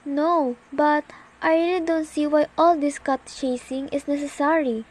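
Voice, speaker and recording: A woman's voice: high voice, fairly even intonation, a little mellow, no echo. A young woman acting a nervous, demanding bedridden woman alone at home in an amateur radio play. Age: teenager. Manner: imperious, nervous, halting delivery.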